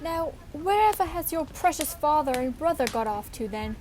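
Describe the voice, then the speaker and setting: A busy, motherly wife fusses over supper, played by a young woman. Her voice is high, with faint noise in the background. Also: lively intonation, normal speed, darkish tone. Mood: homely. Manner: bustling, motherly. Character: caring poor mother and wife. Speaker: student actor.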